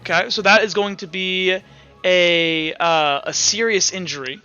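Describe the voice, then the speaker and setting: A man with a high, bright voice runs a tabletop roleplaying game. He talks casually and thinks aloud, counting and narrating as he goes. The audio is slightly muffled, with music behind. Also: measured pace, smooth. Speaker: game master. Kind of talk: running a tabletop roleplaying game, improvised rules talk and narration.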